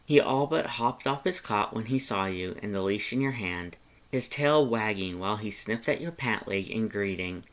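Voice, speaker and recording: A man's voice: fairly low pitch, animated, moderate pace, a bit bright. A man reading scripted second-person fan fiction as a storyteller.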